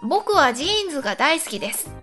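A woman's voice: high voice, big pitch swings, quick pace, bright, forceful tone, soft background music. A woman co-hosting a radio show in polite, giggly casual chat, reading out listener letters.

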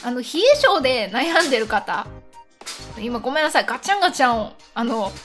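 High-voiced, lively woman podcast host. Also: a little fast, faint music behind. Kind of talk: casual chat with a co-host.

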